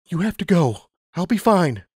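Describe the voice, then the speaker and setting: A man's voice: high voice, big pitch swings, normal speed, husky, no room sound, studio quality, voice pushed up, full character voice. A man voicing a firm, loving pony mother who is tearful.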